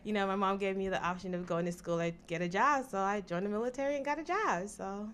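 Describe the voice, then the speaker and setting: A woman's voice: medium pitch, quick pace, a bit bright, clear, resonant tone. A woman, answering interview questions in a studio in an easygoing, reflective way. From Jamaica. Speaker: interview guest.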